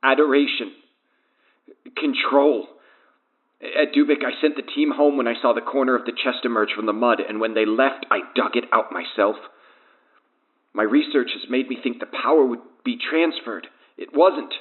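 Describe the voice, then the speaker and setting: A man's voice: medium pitch, moderate pace, close to an everyday voice. An actor playing a doomed, guilt-ridden man enslaved by an evil power, confessing fatalistically in an audio horror drama. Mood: anguished, desperate. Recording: tinny, band-limited phone line.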